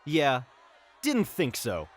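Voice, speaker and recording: A man's voice: mid-range voice, wildly swooping pitch, takes it slowly, some chatter behind, exaggerated voice. An actor playing a mocking, sneering male villain in a fantasy audio drama, theatrical and sarcastic. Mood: sneering contempt. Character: gloating, mocking male villain who taunts the dying.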